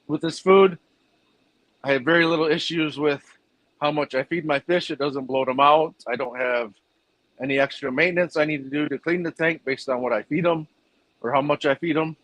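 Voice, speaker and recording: A man's voice: mid-range voice, fairly bright tone, no room sound, rough recording. A man who runs a fish food business, answering interview questions in a plainspoken, rambling way with fillers.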